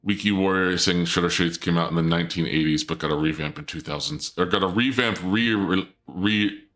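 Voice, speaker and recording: A man live-streaming a game, chatting casually with his viewers in a playful dark-lord persona; he is sniffly from a cold and often stumbles over his words. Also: low voice, brisk.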